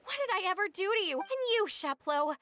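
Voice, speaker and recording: A woman's voice: very high voice, big pitch swings, quick pace, pushed and bright, dry recording, heavily acted. An actress playing a hot-tempered, betrayed young woman, emotional and accusing, in a video game. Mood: hurt, accusing.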